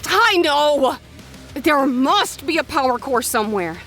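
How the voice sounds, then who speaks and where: A woman voicing a feisty, caring young woman companion in a high, lively voice. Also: a little slow, soft background music. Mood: urgent.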